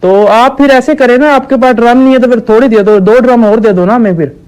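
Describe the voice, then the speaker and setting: Casting: a man acting a dim, persistent village shopkeeper for a comic prank call; high, smooth voice, deadpan and halting. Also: poor audio. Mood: earnest, dim. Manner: slow-witted, hesitant, naively persistent. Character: dim, stubborn village shopkeeper ordering absurd medicine quantities. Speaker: prank caller.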